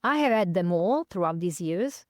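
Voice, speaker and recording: A woman in her fifties from northern Italy, speaking English with an Italian accent. Her voice is smooth and resonant, and the recording is close and dry. She talks in a thoughtful, searching way, full of fillers and self-corrections. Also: on the low side, animated, normal speed, dry recording. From northern Italy, near Milan. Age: 50s. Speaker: podcast guest. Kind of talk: reflective conversational interview.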